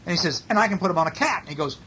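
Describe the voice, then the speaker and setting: A man's voice: sits high, lively intonation, racing, noisy background. A man reading a picture book to small children in a chatty, playful way, adding little sound effects and asking them questions.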